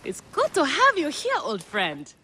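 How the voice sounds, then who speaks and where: A woman playing a boisterous, boastful gladiator and warm old friend in a video game, hearty and exuberant. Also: high-pitched, huge pitch swings, a little slow, noisy background, exaggerated voice. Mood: hearty reassurance. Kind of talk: dramatic video game acting.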